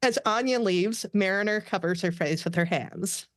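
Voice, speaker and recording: A woman's voice: mid-range voice, normal speed, no room sound, clean studio sound. A woman reading stage directions as scripted narration for a table read.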